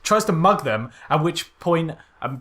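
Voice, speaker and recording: A man with a fairly high voice co-hosts a casual podcast about films. He talks in a sardonic, exasperated way, as if chatting with a friend. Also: animated, a little slow, pushed and bright. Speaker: podcast co-host. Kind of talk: casual film critique chat.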